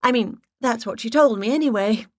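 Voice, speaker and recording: A woman narrator voicing a giggly woman who puts on a high, ditzy, exaggerated English-accented act. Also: a little fast, no room sound, close to an everyday voice. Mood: gushing, coy. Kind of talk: audiobook character voice.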